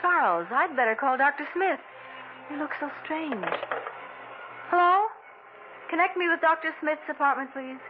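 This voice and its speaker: Anxious high-voiced wife, tinny radio. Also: female voice, lively intonation, moderate pace, long pauses. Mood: worried. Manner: pleading, concerned. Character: anxious, tender wife of an amnesiac, former nurse. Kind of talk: 1940s radio thriller acting. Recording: tinny, noisy old radio recording.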